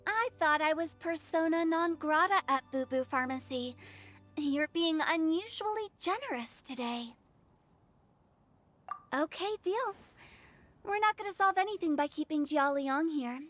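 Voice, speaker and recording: A teasing young funeral director. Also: female voice, high voice, lively intonation, measured pace, fairly bright tone. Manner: teasing, rhyming, playful. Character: playful young female funeral director, mischievous. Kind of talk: game voice acting.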